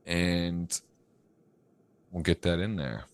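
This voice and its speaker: An American man with a fairly low voice hosts a live poker stream. He calls the hands in a rambling way, with many restarts and repeated words. His pace picks up and his energy rises when a big hand plays out. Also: takes it slowly, smooth. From United States. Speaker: poker commentator and stream host. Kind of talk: live play-by-play commentary.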